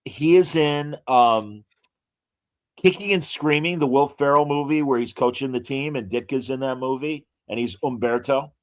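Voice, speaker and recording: Guy on a podcast rattling off actor credits, lots of uhs, throws in ladies and gentlemen bits, mid pitch. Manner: reads out actor credits with frequent "uh" pauses and showman asides. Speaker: podcast co-host. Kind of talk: casual podcast chat.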